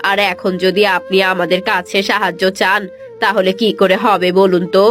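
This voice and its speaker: A woman playing a stingy young daughter-in-law in a cartoon story, speaking rapidly and insistently. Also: medium pitch, little pitch movement, fairly bright tone, natural voice. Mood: coolly excusing. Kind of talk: cartoon moral story dialogue. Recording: music under the voice.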